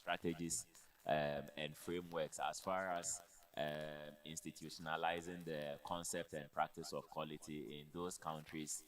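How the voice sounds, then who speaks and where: A man with a Ghanaian accent giving measured, formal remarks as a panelist, pausing often as he speaks. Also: rumbling low, level tone, a bit bright. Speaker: conference panelist, health quality expert.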